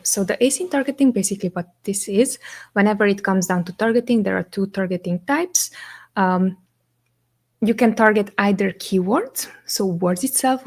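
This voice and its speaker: A young woman from Romania, a native Romanian speaker, hosts a live question-and-answer stream, talking in English at a middle pitch for a woman. She explains each point step by step in a relaxed, conversational way. She often pauses on "um" and checks in with "right?". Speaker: agency co-founder hosting a live Q&A.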